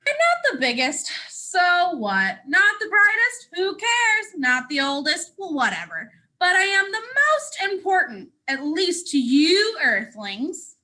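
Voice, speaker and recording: Woman voicing a bright, boastful storybook sun. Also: extremely high pitch, wildly swooping pitch, slow pace, few pauses, voice pushed up, heavily acted, rough recording. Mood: defiant, dismissive. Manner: theatrical, bragging, emphatic. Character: boastful, self-important sun, a cheeky storybook star. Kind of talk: children's picture book read-aloud.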